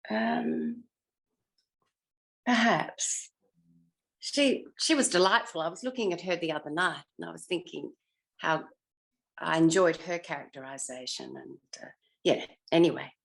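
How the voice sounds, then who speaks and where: A woman's voice: on the low side, measured pace, vocal fry, long pauses. An older woman with an Australian accent reminiscing in a casual interview, hesitant as she searches her memory. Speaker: interviewed former actor.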